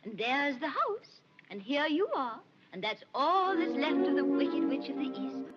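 A woman playing a gentle, regal good witch in theatrical 1930s film style, genteel and lilting. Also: sits high, sing-song, unhurried, dull audio. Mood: puzzled, sweet. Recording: old film soundtrack under loud music.

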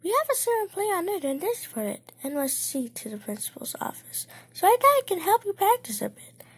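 Woman acting as teenage cartoon girl, dramatic, gushing, high, very animated, theatrical. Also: brisk. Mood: excited. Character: excitable, dramatic teenage girl reciting romantic play lines. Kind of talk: fan comic dub.

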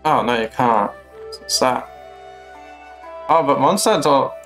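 A young man giving casual, unhurried gameplay commentary, musing to himself as he plays. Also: mid-range voice, expressive, fairly bright tone. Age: young adult. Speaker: game streamer. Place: small room indoors at home. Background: game music.

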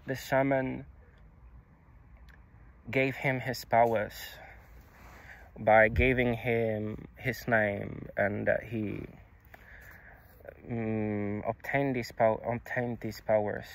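A young man whose first language is Czech, speaking outdoors into a phone in a halting, confessional way, restarting words and swearing casually. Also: mid-range voice, gravelly, low-quality recording. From Czech Republic. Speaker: vlogger. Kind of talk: personal confessional monologue. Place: outdoors on a grassy hilltop. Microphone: phone camera held low.

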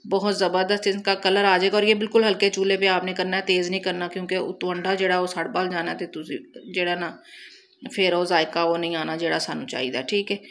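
A woman's voice: fairly low pitch, little pitch movement, a little fast. A woman with a Pakistani Punjabi accent giving a chatty, casual recipe voice-over as a cooking channel host. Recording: noticeable background noise, home recording.

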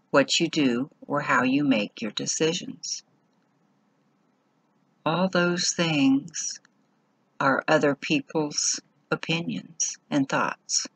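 Female meditation guide, slow and husky, measured instructional phrasing with generous pauses. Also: low-pitched, a bit bright, low-quality recording.

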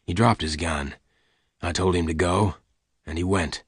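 Man voicing a very deep, calm gunfighter. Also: slightly rough, dry recording, studio quality. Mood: calm, gentle. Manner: calm, slow, gentle but hard-edged. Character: calm, slow-talking male gunfighter of the Old West. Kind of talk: audiobook character dialogue.

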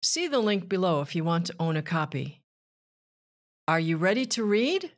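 A woman reading a rhyming children's book aloud with measured, deliberate phrasing. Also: lowish voice, big pitch swings. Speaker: children's author and narrator.

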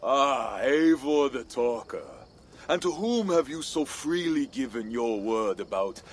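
An actor playing a menacing, vengeful Viking warlord in a video game, with gravelly, theatrical oratory. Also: male voice, mid-range voice, animated, a little slow. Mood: mocking, menacing. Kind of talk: dramatic video game cutscene acting.

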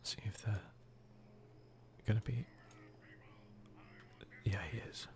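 Low, creaky soft-spoken male ASMR gamer. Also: level tone, slow pace. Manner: dry, understated, mildly sweary. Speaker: gaming video host. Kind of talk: ASMR video game commentary, soft-spoken, often whispering.

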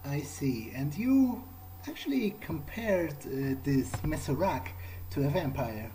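A man improvising in character during a game playthrough, speaking in a measured, deliberate, hesitant manner. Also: on the high side, expressive, warm tone, a little hiss or hum, rough recording. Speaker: gamer role-playing his character.

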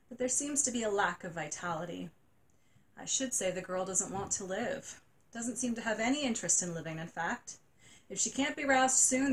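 A woman's voice: mid-range voice, a little hiss or hum, natural voice. A woman reads a worried hospital doctor, earnest and grave, in a plain voice close to her own. It is read aloud from a storybook.

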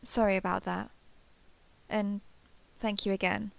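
A woman playing an earnest, affectionate starship captain in an intimate sci-fi audio roleplay, speaking haltingly and confessionally. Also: mid-range voice, level tone, vocal fry, no room sound. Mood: apologetic, emotional. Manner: hesitant, halting, apologetic, confessional.

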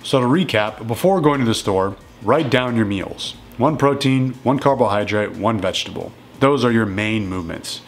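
A man in his thirties casually walking through home meals in his kitchen, relaxed and matter-of-fact. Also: fairly low pitch, moderate pace, slight background noise. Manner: relaxed and matter-of-fact, plain step-by-step talk. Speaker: strength coach cooking at home. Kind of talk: casual cooking walkthrough.

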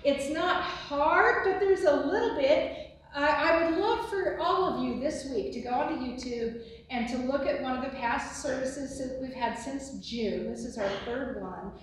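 An older woman pastor with a booming voice giving church announcements and a benediction, recorded by a distant phone in a large sanctuary. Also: measured pace. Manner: booming, expressive, gesturing widely. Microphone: phone on a tripod from afar. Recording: echoey sanctuary from far off.

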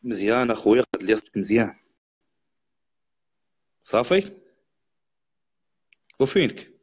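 A man with a Moroccan accent hosting a live stream, chatting quickly with viewers and a guest. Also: lowish voice, sing-song, breathy edge. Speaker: livestream host. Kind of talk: casual live chat and storytelling.

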